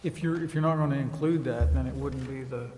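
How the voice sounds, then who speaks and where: A man's voice: mid-range voice, steady tone, brisk, a little mellow. A man speaking informally as a council member in a meeting discussion. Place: indoor council chamber. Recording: echoey council chamber, faint background noise.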